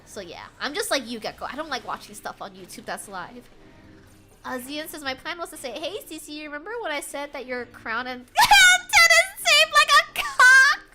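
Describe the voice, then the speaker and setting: A woman's voice: sits high, moderate pace. An American woman streamer, young, chatting casually with her chat while gaming, laughing often. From United States. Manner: giggly, animated, frequent bursts of laughter. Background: faint game music.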